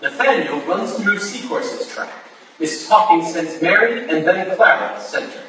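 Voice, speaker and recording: A man's voice: high-pitched, expressive, a little slow, bright, forceful tone, far from the mic. A man reading out stage directions as scripted narration at a staged play reading, in an echoey, noisy hall.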